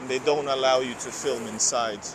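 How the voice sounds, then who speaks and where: A man's voice: medium pitch, steady tone, normal speed. A man from Finland with a slight Finnish accent, livestreaming a walking tour and rambling to viewers in chat. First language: Finnish or Russian. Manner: rambling, self-correcting, reads out viewer comments. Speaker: vlogger. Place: outdoor city streets. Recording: noisy outdoor street with traffic.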